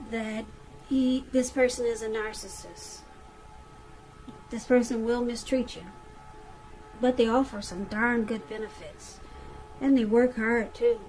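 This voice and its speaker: A woman's voice: fairly high pitch, a little mellow, rough recording. A woman giving a conversational tarot reading straight to the listener, repeating phrases for emphasis. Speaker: tarot reader.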